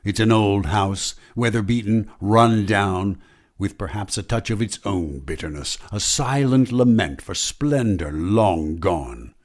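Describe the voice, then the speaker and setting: An ominous mystery storyteller from old-time radio. His lowish voice moves very slowly and swings widely in pitch, portentous and brooding. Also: lively intonation. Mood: ominous, elegiac. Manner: dramatic, deliberate, portentous. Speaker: narrator.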